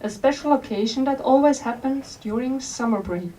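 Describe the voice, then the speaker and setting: A woman from Switzerland, reading aloud from her memoir at a bookstore event in a gentle, unhurried storytelling manner. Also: high voice. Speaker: author. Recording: distant mic with faint room noise.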